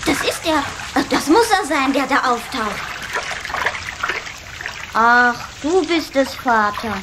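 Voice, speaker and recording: A woman's voice: high-pitched, animated, slow pace. A woman playing a curious, earnest young water-sprite boy in a children's radio play. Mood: searching. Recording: cassette recording with faint hiss.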